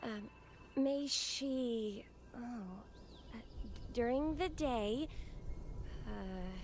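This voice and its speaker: A woman's voice: fairly high pitch, animated, drawn-out words, noisy background, exaggerated voice. A woman voicing a sweet, earnest, devout young woman in a video game. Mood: hesitant, studious. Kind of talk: English video game voice acting.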